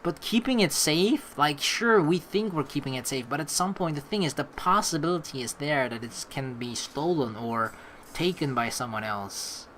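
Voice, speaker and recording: A let's player reading game text aloud and giving casual, rambling, halting commentary as they think out loud. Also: mid-range voice, lively intonation, a little hiss or hum.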